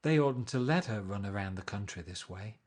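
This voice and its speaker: A man's voice: on the low side, animated, fairly quick, darkish tone. A man reading aloud and voicing a blunt, brusque wealthy man in a curt, abrupt manner. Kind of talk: audiobook character voice.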